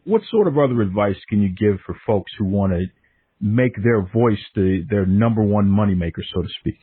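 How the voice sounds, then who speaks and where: A man's voice: fairly low pitch, animated, moderate pace, slightly rough. A man with a Boston accent hosting a podcast, asking long rambling questions with repeats. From Northeast US, Boston area. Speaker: podcast host. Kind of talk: casual interview questions. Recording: dry phone-line audio.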